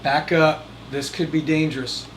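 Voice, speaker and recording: A man's voice: fairly high pitch, level tone, moderate pace. A man giving casual how-to vlog talk as host, folksy and jokey with rambling asides. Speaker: host of DIY video series. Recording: loud air conditioner noise behind voice. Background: air conditioner hum.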